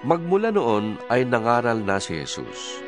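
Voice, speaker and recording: A man narrating a dramatized scripture reading in a measured storytelling manner. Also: medium pitch, wildly swooping pitch. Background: music.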